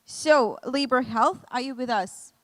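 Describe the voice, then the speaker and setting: A high-voiced woman moderates a startup pitch session, reading introductions from a script. She has a light, self-deprecating touch, and her voice is recorded dry and close. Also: unhurried, a bit bright, no room sound. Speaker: event moderator.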